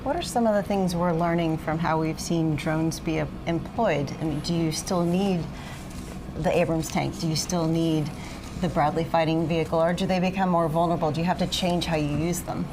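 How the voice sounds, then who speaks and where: Low-voiced woman reporter interviewing live. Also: fairly even intonation, normal speed. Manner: informed, poses detailed questions. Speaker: news correspondent. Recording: noisy outdoor broadcast.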